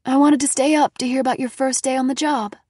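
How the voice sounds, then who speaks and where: A woman's voice: high-pitched, steady tone, fast talker, a little mellow, husky, no room sound, clean studio sound, pitched above the performer's own voice. A woman voicing a worried, skeptical and bossy middle-aged mother in an audiobook story. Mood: eager, bossy. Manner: questioning, insistent, scolding.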